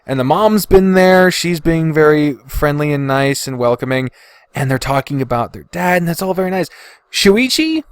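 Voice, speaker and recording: Male podcast host, slightly high voice, dry close-miked sound, casual and opinionated, swears freely. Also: lively intonation, moderate pace, clean tone, no room sound. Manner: blunt, frequent swearing.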